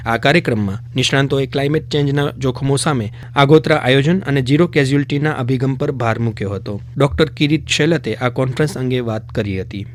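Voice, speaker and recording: A man's voice: medium pitch, a little hiss or hum. A man reading scripted news for a radio bulletin. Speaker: news reader.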